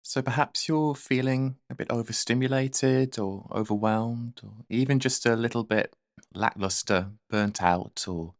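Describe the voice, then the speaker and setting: A man leading a guided meditation in a gentle, soothing, unhurried manner. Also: fairly low pitch, no room sound, clean studio sound.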